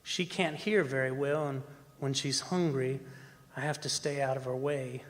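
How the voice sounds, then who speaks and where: An actor playing a haunted, obsessed older oil driller, telling a horror tale in a dramatic, halting, anguished way in a stage radio play. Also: male voice, mid-range voice, fairly even intonation, lightly acted. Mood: haunted longing. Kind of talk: old-time radio horror monologue performed on stage. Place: performing arts center stage. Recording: reverberant stage hall with noise.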